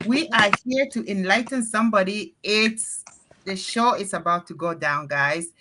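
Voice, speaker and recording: Bright, upbeat woman hosting a live show. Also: medium pitch, moderate pace, low-quality recording. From Cameroon. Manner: upbeat, chatty, energetic. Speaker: host of a live panel show. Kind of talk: casual live-stream chat.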